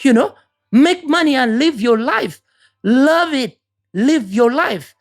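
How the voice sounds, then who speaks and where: A woman's voice: high voice, animated, slow pace, soft background music. A woman from Uganda with a Ugandan accent, giving an earnest, preachy motivational talk and often repeating words as she searches for the next one. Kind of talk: motivational monologue.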